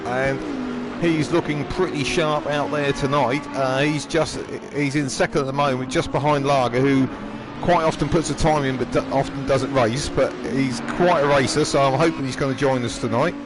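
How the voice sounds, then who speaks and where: A man commentating a live sim race, slightly hoarse, chatty with frequent ums and throat clearing. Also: medium pitch, fairly even intonation, normal speed, over music. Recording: noisy background.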